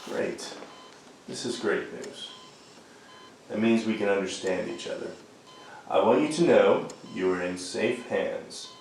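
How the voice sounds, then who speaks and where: An actor playing an earnest, commanding hospital doctor in a radio thriller. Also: male voice, fairly low pitch, a little slow, vocal fry, pauses often, darkish tone. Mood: reassuring, measured. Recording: very echoey, distant mic, faint noise.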